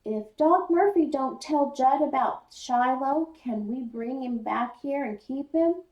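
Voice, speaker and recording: A woman's voice: high voice, slow pace, a little mellow, dull audio, pitched above the performer's own voice. Woman reading as an earnest country boy, roomy echo. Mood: pleading. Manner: pleading, rural colloquial phrasing. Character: earnest, stubborn eleven-year-old country boy. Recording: roomy echo, distant mic.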